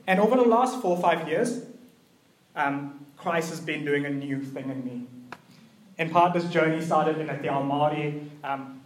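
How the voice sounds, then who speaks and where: A young man giving a conversational sermon, earnest and personal, with a gently hesitant delivery. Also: fairly high pitch, echoey room, a little hiss or hum, rough recording. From New Zealand and Malaysia. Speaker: preacher.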